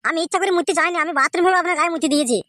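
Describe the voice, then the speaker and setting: A man's voice: extremely high pitch, racing, sharp tone, no room sound, studio quality. A man voicing a whining, cowardly, hard-drinking henpecked husband in a comic cartoon, pleading and making excuses through a sped-up voice effect. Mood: sheepish. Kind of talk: comic Bengali cartoon dialogue.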